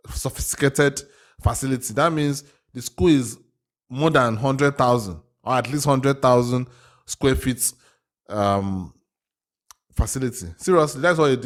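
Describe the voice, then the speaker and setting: A man from Africa with an African accent giving casual reaction commentary, thinking aloud with hesitant, repeated phrases. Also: mid-range voice. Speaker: reaction video host.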